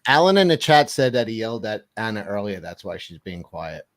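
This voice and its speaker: Deadpan speaker tossing dry quips. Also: mid-range voice, fairly quick, dry recording. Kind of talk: casual group chat.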